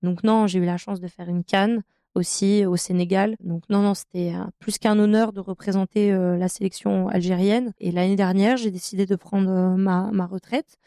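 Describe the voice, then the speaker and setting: A woman's voice: medium pitch, steady tone, normal speed, dry recording, studio quality. A woman in her thirties, a professional handball player from France, telling her career story in a podcast interview with many hesitations. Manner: hesitant, many fillers, warm enthusiasm about her career.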